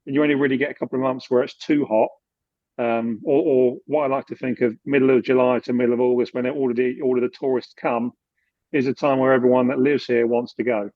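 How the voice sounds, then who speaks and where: A man from the UK chatting in an interview, relaxed, with many fillers and restarts. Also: medium pitch, quick pace, no echo, highs cut off. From United Kingdom. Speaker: guest, expat finance expert. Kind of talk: casual interview conversation.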